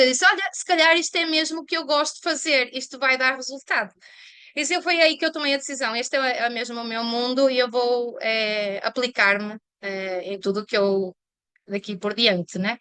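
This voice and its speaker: Portuguese-accented woman, smooth dry voice. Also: fairly high pitch, sharp tone, no room sound. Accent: European Portuguese. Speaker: Portuguese teacher. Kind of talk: interview guest telling her career story. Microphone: video call.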